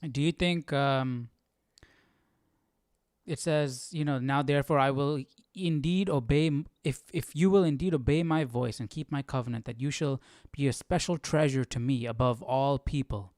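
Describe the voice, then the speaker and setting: A man's voice: mid-range voice, normal speed, darkish tone, no room sound, studio quality. A man hosting a Bible study livestream, casually thinking aloud in a questioning, hesitant way.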